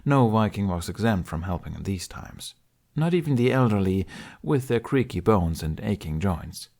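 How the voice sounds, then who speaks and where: Male audiobook narrator, lowish pitch, scripted storytelling read. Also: animated, moderate pace, a little mellow.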